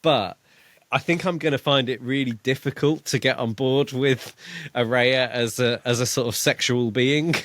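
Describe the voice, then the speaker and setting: A man's voice: mid-range voice, steady tone, moderate pace, fairly bright tone. A man co-hosting a podcast in a casual book discussion, thinking aloud in a tentative way. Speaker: podcast co-host.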